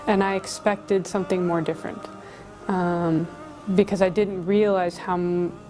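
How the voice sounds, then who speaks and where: A woman's voice: on the low side, level tone, measured pace, mellow, poor audio. A woman from Colorado with an American accent, telling a personal story casually in an interview. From Colorado, United States. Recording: music playing behind the voice.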